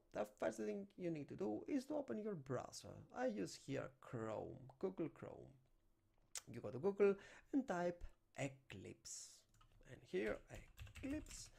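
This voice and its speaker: A man's voice: on the high side, gravelly, a little mellow. A young man from Greece with a Greek accent, teaching a programming tutorial at a desk mic and walking through on-screen steps in non-native English. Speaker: programming instructor. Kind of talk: screen-recorded coding tutorial. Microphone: desk microphone.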